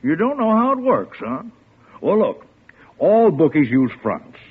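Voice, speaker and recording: Police lieutenant, male: American, gruff, folksy, expressive rasp, narrow-band vintage radio drama. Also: on the high side, a little slow, full character voice. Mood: incredulous. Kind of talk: mid-century American radio drama acting. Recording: narrow tinny old radio broadcast.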